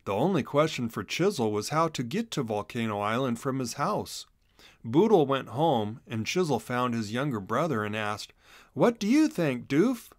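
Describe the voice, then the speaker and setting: A man narrating a children's storybook in an expressive read-aloud manner. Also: mid-range voice, normal speed, no echo, clean studio sound.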